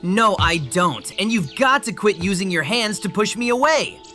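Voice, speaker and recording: Woman as a stubborn boy, lively intonation. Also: medium pitch, moderate pace, faint music behind, natural voice. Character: stubborn, argumentative twelve-year-old boy. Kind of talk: film script table read.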